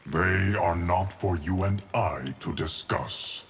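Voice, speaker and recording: A man playing a solemn, stern immortal guardian of time in a video game, speaking formally in a deep, gravelly voice. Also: slow, very noisy. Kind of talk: video game character dialogue.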